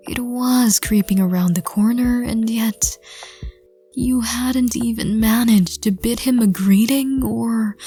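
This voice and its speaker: A woman narrating a scripted audio roleplay in a soft, breathy, whispery voice. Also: on the high side.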